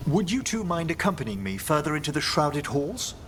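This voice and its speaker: Courtly, swooping old professor necromancer. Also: male voice, on the high side, sing-song, brisk, a bit bright, slight background noise. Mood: gracious. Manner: genteel, theatrical, polite phrasing. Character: courtly elderly male necromancer professor, gracious and curious. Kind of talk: video game voice acting.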